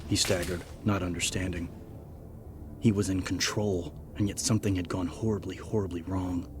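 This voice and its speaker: A man narrating dramatic horror fiction in a grave, measured manner. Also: deep voice. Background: prominent background music.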